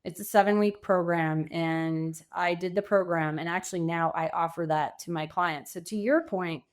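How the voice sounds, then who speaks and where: A woman's voice: on the low side, steady tone, normal speed. A woman answering as a guest coach in a podcast interview, speaking informally and emphatically, often acting out quoted thoughts.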